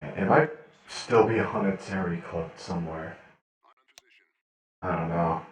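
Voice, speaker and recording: Man, deep voice, heavy echo, distant from mic, hesitant game roleplayer. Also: slow. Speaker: roleplayer acting as a cyborg prisoner. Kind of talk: improvised in-character roleplay chat in an online game. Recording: very echoey, distant voice chat.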